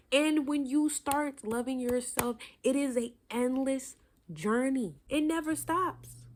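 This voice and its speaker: A woman's voice: a little high, darkish tone, a little hiss or hum. A young woman with a stuffy nose giving an earnest, preachy motivational talk straight to her viewers. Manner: earnest, preachy, direct address. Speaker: vlogger.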